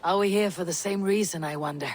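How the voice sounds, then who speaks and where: A low female voice from an actress as a proud Viking warrior in a game. She speaks bluntly and sternly, with dry restraint and faint ambience behind her. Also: quick pace, a little hiss or hum, close to an everyday voice. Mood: measured. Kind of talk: video game cutscene acting.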